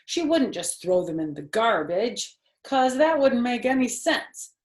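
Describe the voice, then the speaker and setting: A woman's voice: on the high side, very animated, a little slow, voice pushed up. A woman voicing a spirited, stubborn first-grade girl who argues earnestly and emphatically in a storybook reading. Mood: pleading, insistent. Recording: roomy, echoey home recording.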